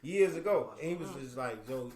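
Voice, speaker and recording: A man's voice: medium pitch, lively intonation, moderate pace, a little mellow. A man in casual chat, telling a laid-back story and quoting what others said to him. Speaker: guest rapper. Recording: echoey room.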